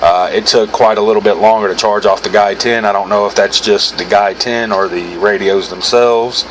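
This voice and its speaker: An American man with a fairly low voice talks through a product review. His delivery is informal and rambling, correcting himself as he goes. Clear background noise sits behind his speech. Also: fairly even intonation, normal speed, a bit bright. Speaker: gear reviewer hosting his own video. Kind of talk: casual unscripted product review. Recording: clearly noisy background hum.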